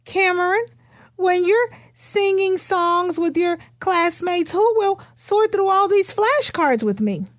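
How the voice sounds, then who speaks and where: A woman voicing fretful storybook relatives and neighbours, putting pleading, drawn-out questions to a little girl. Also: very high voice, animated, no echo, clean studio sound, pitched above the performer's own voice, full character voice. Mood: worried, pleading.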